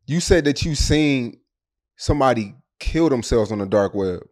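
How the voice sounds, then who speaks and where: Male interviewer, mid-pitched and casual. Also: lively intonation, moderate pace, darkish tone. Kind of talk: casual interview questions, slangy.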